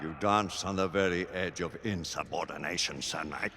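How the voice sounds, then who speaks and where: An actor playing a stern, pompous older Lord Chancellor with a British accent, speaking in formal oratory in a video game cutscene. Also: male voice, low-pitched, normal speed, pushed and bright, husky, heavily acted. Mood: menacing. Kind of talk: theatrical game cutscene acting. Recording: long hall reverb.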